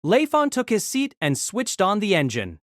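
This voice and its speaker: A man narrating an audio drama in scripted storytelling style, expressive and engaged. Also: normal speed, dry recording, studio quality. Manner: expressive storytelling with lively pitch.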